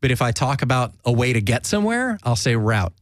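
Young man from new jersey, chatty self-mocking host, normal pitch, dry sound, laughs a lot. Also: sing-song, fairly quick, dry recording. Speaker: podcast host. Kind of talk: casual chat.

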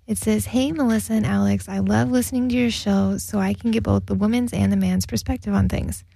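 Woman radio co-host, gravelly voice, chatty and laughing, dry studio sound. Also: medium pitch, fairly even intonation, a little fast, dark tone, no echo, rough recording. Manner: chatty, laughs often, reads listener emails. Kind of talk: casual radio chat.

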